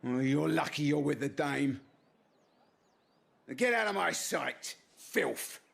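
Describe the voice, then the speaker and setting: An actor playing a coarse, swaggering city guard in a video game, with a sneering manner. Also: male voice, fairly high pitch, animated, slow pace, a bit bright, full character voice. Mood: contemptuous. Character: coarse, contemptuous male city guard, familiar with the madam. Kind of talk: video game cutscene acting. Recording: music clearly behind the voice.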